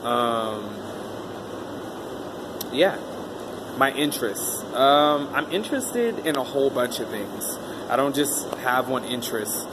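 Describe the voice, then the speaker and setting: A man tells his own story in a loose, rambling monologue, often stopping for an um. His pitch sits in a middle range. A clear air-conditioner hum runs under his voice throughout. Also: animated, takes it slowly, pauses often. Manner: rambling, many um fillers. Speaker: vlogger interviewing himself. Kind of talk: casual self-interview monologue.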